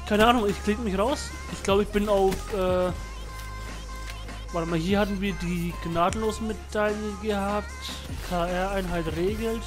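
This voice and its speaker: A man's voice: sits high, steady tone, unhurried, over music. Congested young man chatting over gameplay. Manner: laid-back slangy chat with many fillers. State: has a cold, blocked nose. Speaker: gaming channel host. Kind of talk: casual gameplay commentary. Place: home room, voice-over on game footage.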